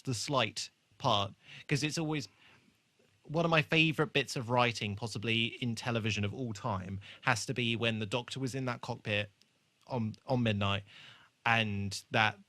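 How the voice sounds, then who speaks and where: A man's voice: fairly low pitch, fairly even intonation, normal speed, pushed and bright, dry recording. A man co-hosting a podcast, talking casually through a TV episode and often thinking aloud in a tentative way. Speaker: podcast co-host.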